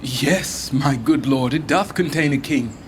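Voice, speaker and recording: Man playing dutiful soldier lord, medium pitch. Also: measured pace, close to an everyday voice. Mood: dutiful, formal. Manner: deferential, formal reporting. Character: dutiful young soldier lord reporting to superior. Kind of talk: Shakespearean radio drama acting. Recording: faint noise and ambience.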